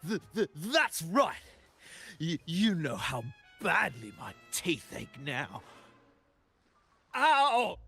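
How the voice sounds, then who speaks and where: Slow, high, stammering pirate underling. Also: male voice, wildly swooping pitch, vocal fry, pushed and bright, soft background music, exaggerated voice. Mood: whining. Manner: stammering, whiny, panicky. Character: cowardly, stammering male pirate underling, whining with toothache. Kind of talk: video game character acting.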